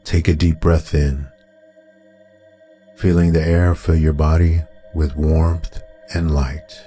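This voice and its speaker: A man narrating a guided meditation in a calm, soothing, unhurried way, as a guide would. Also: rumbling low, slightly rough. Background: meditation music with singing bowl tones.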